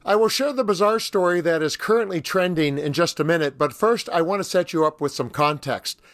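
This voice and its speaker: Guy with a high, slightly rough voice doing sarcastic politics commentary, wry and mocking. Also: brisk, no room sound, studio quality. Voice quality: somewhat rough voice, as the speaker says. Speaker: commentary host.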